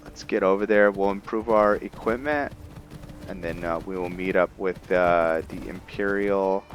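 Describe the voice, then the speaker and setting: A man giving casual commentary on his roleplay game, thinking aloud in a halting way. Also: low-pitched, a little hiss or hum. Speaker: gamer narrating a roleplay playthrough.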